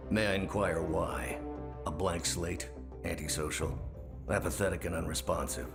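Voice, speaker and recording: Gravelly older spymaster, low and stern, skeptical, game cutscene with loud music under it. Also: male voice. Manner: grave, deliberate, clipped. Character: stern, skeptical older male spy agency superior. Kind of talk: scripted game cutscene dialogue.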